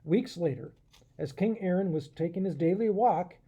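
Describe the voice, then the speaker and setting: A man, a pastor, telling a children's story in an expressive, animated way and speaking directly to his listeners. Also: sits high, normal speed, breathy edge. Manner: animated, asks the listeners questions.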